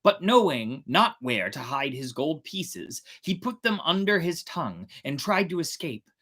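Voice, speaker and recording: A woman narrating a storybook as host, in a theatrical, expressive reading style. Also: deep voice, unhurried, slightly rough. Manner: theatrical, dramatic.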